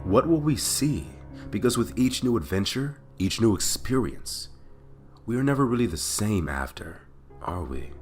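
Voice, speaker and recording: A man's voice: on the low side, animated, moderate pace, faint music behind. A man hosting a podcast and narrating scary stories in a theatrical, dramatic way, stretching phrases for emphasis. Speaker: podcast host and story narrator. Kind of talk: dramatic reading of creepypasta stories.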